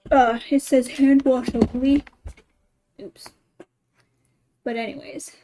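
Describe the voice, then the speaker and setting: A woman's voice: sits high, flat delivery, takes it slowly, background noise. A woman chatting casually as she streams a game, thinking aloud in short, playful remarks. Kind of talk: casual live gameplay chat.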